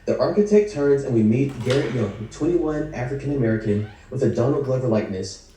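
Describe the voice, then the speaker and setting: A man's voice: medium pitch, expressive, a little fast, mellow, a little hiss or hum. A man reading screenplay action lines as the narrator of a table read. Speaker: script narrator. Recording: very echoey room, distant mic.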